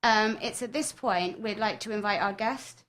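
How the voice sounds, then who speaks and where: A woman's voice: mid-range voice, moderate pace, fairly bright tone, slight background noise. Young female student presenter, earnest scripted radio read. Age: young adult. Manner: earnest, reads from script, addresses listeners.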